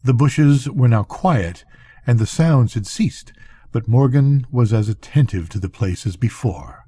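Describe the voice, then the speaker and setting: An older man with a husky voice reads a suspenseful story aloud in a measured, dramatic narrating style. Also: medium pitch, animated, mellow, no echo. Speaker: storyteller reading to grandchildren.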